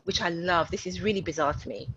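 A woman's voice: on the low side, quick pace, muffled recording, background noise. A British-born woman talking as a guest in a casual online interview, chatty, with many fillers. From United Kingdom.

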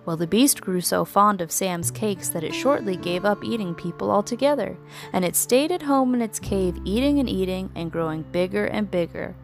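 A woman narrating a scripted children's story in an expressive, dramatic storytelling manner. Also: mid-range voice, normal speed, faint music behind.

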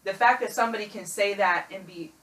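A woman's voice: mid-range voice, fast, fairly bright tone. Passionate young woman, distant and roomy. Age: young adult. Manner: passionate, emphatic. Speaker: guest. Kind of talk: casual group discussion.

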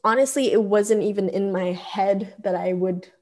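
A woman's voice: medium pitch, moderate pace, darkish tone. A young woman from Japan, giving a casual, conversational account of herself as an interviewee. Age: young adult.

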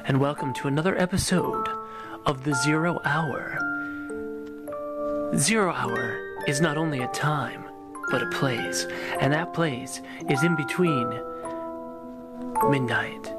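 A performer voicing a solemn, eerie anthology host in a mock suspense radio introduction, slow and portentous. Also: mid-range voice, loud music behind the voice, close to an everyday voice. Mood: portentous, mysterious.

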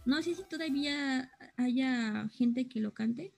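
A woman's voice: high voice, takes it slowly, gravelly, darkish tone, soft background music. A young woman chatting casually, explaining things and often searching for the right words. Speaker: co-host explaining anime terms. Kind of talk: casual chat.

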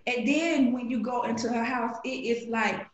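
Lively Southern woman with roomy echo. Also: a little high, moderate pace, lo-fi sound. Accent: Southern US (Alabama). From Alabama, USA. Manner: animated storytelling, playful, acts out voices in quotes. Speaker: guest, grocery shopper. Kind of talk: casual podcast conversation.

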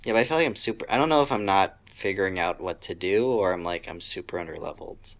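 Man, deep voice, podcast co-host, casual gaming chat, informal, griping. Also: quick pace, dull audio. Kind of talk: casual chat about video games.

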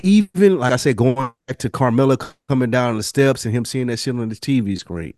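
A man's voice: mid-range voice, animated, a little fast, darkish tone, no echo, studio quality. A man co-hosting a review podcast, making points in emphatic, slangy casual talk and repeating phrases for stress. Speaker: podcast co-host reviewing a TV drama. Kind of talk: casual chat.

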